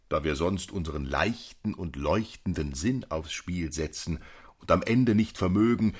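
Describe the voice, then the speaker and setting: An actor playing a disapproving, eloquent member of a literary circle in a radio drama, speaking in ornate, reproving phrases. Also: male voice, deep voice, breathy edge.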